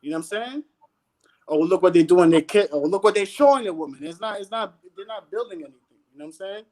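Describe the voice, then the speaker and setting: Animated high-voiced man sermonizing in slang. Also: quick pace. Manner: animated, slangy, preachy, keeps asking "you know what I'm saying". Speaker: guest panelist. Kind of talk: casual panel chat.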